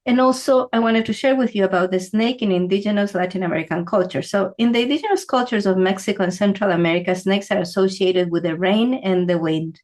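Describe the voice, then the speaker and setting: A woman from Ecuador explaining symbolism in a relaxed teaching talk, often checking in with "right?". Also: medium pitch, quick pace, hardly any pauses. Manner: conversational, asks "right?" often. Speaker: feng shui teacher.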